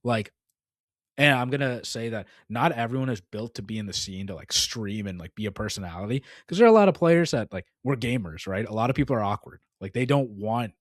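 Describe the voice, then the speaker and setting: Man, young, casual podcast co-host, low pitch, rambling with lots of "like", dry close sound. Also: lively intonation, fairly quick, dry recording. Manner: rambling, heavy on "like" and "dude".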